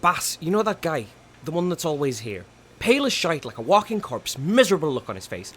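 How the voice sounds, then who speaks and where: A man playing a surly, sarcastic small guy with a gruff, curt manner in an audio drama. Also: on the high side, sing-song, a little fast, fairly bright tone, heavily acted. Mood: gruff, impatient. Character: Styx, surly, short, intimidating male best friend.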